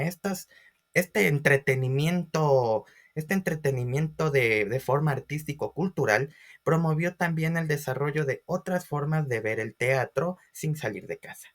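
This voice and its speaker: A man's voice: medium pitch, fairly bright tone. Man teaching an informal video lesson. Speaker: teacher presenting an online class.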